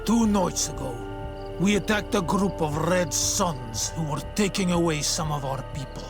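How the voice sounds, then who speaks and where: An actor playing an earnest, cautious native islander in a video game, with simple, careful phrasing. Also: male voice, fairly high pitch, normal speed, gravelly, over music. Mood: earnest, urgent.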